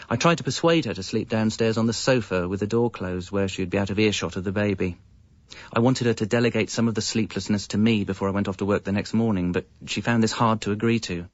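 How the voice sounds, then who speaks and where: A low-voiced husband and young father played by a man tells his story for a radio comedy. He is wry, ironic and deadpan, as if confessing. The sound is close and dry but slightly muffled. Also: quick pace, no echo, natural voice. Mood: deadpan, confessional. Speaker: narrator and lead character. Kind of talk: scripted comic radio monologue. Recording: muffled, band-limited audio.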